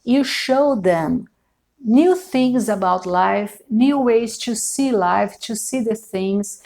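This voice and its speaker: Woman, Brazilian Portuguese accent, speaking English as a second language, tarot reader, very slow, halting, hunts for words. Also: mid-range voice, a little mellow. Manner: slow and deliberate, often hesitating and searching for English words, repeating phrases. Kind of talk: unscripted tarot reading to camera.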